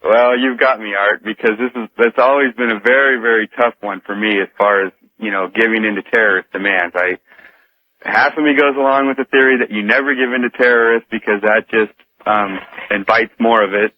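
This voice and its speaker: A man's voice: fairly low pitch, fairly even intonation, a little fast. A man calling in to a radio talk show, casually reasoning aloud with some hesitation. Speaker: radio call-in guest. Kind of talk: casual phone-in discussion. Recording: tinny phone line on radio.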